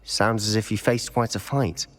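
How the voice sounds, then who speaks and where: An actor playing a grieving young student who speaks quietly and sincerely, with a touch of hesitation. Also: male voice, lowish voice, steady tone, moderate pace, close to an everyday voice. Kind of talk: video game cutscene acting. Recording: echoey hall reverb.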